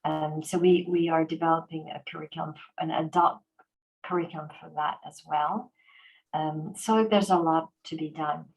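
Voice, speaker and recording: Woman, lowish pitch, animated storyteller, chatty interview, frequent restarts. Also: normal speed, vocal fry, lo-fi sound. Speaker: organization president and host. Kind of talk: conversational interview.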